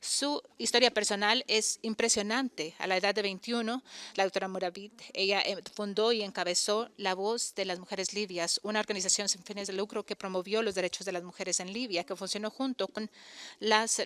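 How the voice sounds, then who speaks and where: Woman interpreter, smooth resonant voice, halting delivery, self-corrections, medium pitch. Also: steady tone, a bit bright. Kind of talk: interpreted conference talk.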